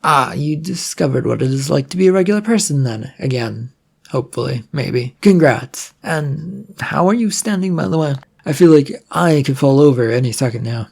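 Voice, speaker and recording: A man's voice: fairly high pitch, expressive, normal speed, gravelly, slightly rough, exaggerated voice. A man voicing a weary, sarcastic would-be hero in a story, wry, hesitant and rambling.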